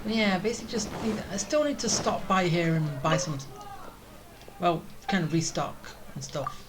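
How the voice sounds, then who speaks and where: A woman's voice: on the low side, expressive, normal speed, background noise. A woman giving informal, rambling let's-play commentary as she plays a video game. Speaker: gaming streamer. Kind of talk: casual let's-play commentary, reacting to and reading game dialogue. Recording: game music behind the commentary.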